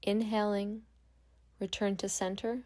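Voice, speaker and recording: A woman guiding a meditation as a yoga nidra instructor, speaking calmly and gently with long pauses. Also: mid-range voice. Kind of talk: guided meditation.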